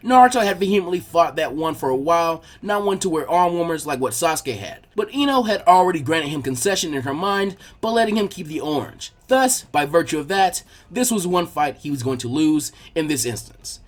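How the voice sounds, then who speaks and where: A man's voice: on the high side, lively intonation, a little fast, few pauses. A man reading fan fiction aloud as a scripted story narration.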